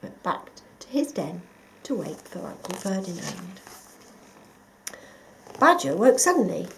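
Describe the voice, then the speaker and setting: A woman reading a children's picture book aloud, with expressive, dramatic emphasis for young listeners. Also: lowish voice, a little slow, creaky, background noise. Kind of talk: expressive scripted story narration.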